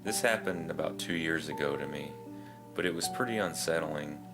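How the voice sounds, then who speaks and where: Male narrator for creepy true-story readings, low-pitched, over a bed of plucked guitar music. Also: moderate pace. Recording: music behind the voice.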